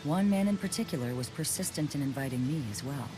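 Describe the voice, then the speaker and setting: A woman's voice: sits low, animated, fast, low-quality recording. A woman voicing a proud, aloof ancient ice spirit in a video game, speaking in a cool, formal and curt way. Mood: nostalgic. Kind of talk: video game cutscene voice acting. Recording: music under the voice.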